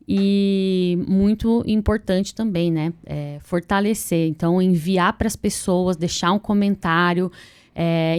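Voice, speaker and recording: A woman hosting a videocast interview, with a smooth, clear voice at an ordinary pitch. She talks in a chatty, searching way, with many pauses and fillers as she frames her questions. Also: darkish tone. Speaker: interview host.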